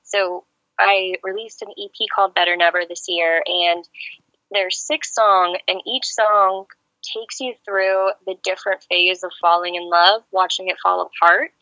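Bright-voiced chatty woman singer-songwriter. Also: lowish voice, steady tone, measured pace, no room sound, lo-fi sound. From Serbia. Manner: friendly, chatty storyteller. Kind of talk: phone-in radio interview. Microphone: phone line.

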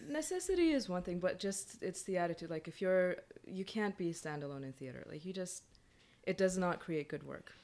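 A woman's voice: fairly low pitch, expressive, normal speed, a little mellow. A woman speaking informally as a guest in a casual panel discussion, with a wry way of talking.

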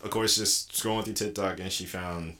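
A man in his twenties co-hosting a casual podcast chat, telling stories animatedly and laughing easily. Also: low voice. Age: 20s. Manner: animated storyteller, quick to laugh. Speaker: podcast co-host. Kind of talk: casual chat among friends.

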